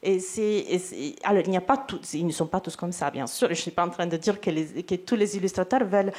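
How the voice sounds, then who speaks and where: Hesitant Italian-accented woman, low voice. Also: fast talker, darkish tone. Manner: hesitant, many fillers and restarts. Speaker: literary agent for illustrators. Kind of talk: round-table panel answer.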